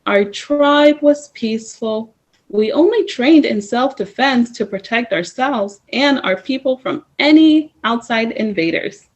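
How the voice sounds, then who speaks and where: A woman reading a first-person story aloud at story time, in a slow, measured way. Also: on the high side, lively intonation, a little hiss or hum. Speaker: storytime reader. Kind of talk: reading a picture book aloud.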